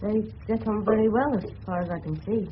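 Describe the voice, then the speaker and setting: A woman's voice: medium pitch, brisk, close to an everyday voice. An actor with a light voice playing a polite, observant teenage English boy in a radio drama, earnest and respectful with an elder. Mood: thoughtful, earnest. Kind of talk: 1970s radio drama acting. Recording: dull, noisy old radio broadcast recording.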